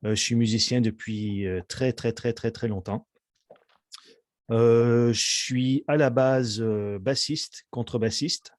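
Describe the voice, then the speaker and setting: A man's voice: medium pitch, fairly even intonation, slow, dry recording. A middle-aged man from Quebec, chatting as an interview guest and often hesitating over fillers and restarts. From Quebec, Canada. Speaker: musician guest. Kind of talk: casual interview chat.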